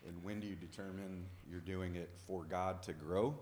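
A man's voice: deep voice, fairly even intonation, moderate pace, darkish tone. A man in the group asking a question hesitantly, searching for his words. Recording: hall reverb.

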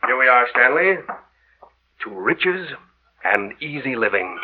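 An actor playing a scheming, greedy chemist who speaks slyly and deliberately, in old radio horror drama style. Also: male voice, mid-range voice, very animated, narrow, tinny audio. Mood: sly, calculating. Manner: sly, deliberate, gloating. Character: scheming, greedy, cold-blooded male chemist, a poisoner. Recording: old 1930s-40s radio broadcast recording.

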